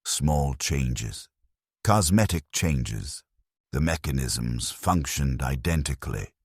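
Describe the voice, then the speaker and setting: A man with an extremely low, husky voice narrates dark science fiction in the first person. His delivery is measured and grim, yet the pitch moves in a lively way. The sound is dry and close. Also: no room sound.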